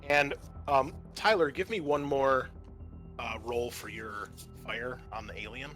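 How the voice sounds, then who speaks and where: A man's voice: mid-range voice, a little slow, over music. A man running a tabletop role-playing game as game master, talking casually and swearing freely.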